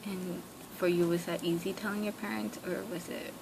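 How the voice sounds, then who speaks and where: A young woman with a low, gravelly voice asks questions hesitantly, filling gaps with "um" and "like". The recording is noisy with a steady hiss behind her. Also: moderate pace. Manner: hesitant questions full of fillers and "like". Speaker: interviewer. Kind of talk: oral history interview.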